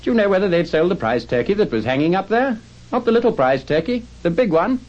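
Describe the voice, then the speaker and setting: A man's voice: sits low, very animated, fairly quick, darkish tone, dull audio. A man voicing a fervent, theatrical old miser in a dramatized storybook reading. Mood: excited, delighted.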